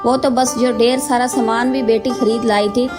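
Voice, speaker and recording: A woman's voice: a little high, fairly even intonation, moderate pace. A woman narrating a scripted Hindi cartoon story in a wry, conversational way. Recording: music bed behind voice.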